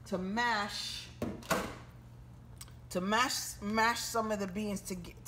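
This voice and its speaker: Slow-talking folksy woman cooking at home. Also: mid-range voice, fairly bright tone, a little hiss or hum. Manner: chatty, folksy, talks straight to viewers. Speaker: home cooking channel host. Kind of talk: casual cooking demonstration. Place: home kitchen at the stove. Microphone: handheld phone camera.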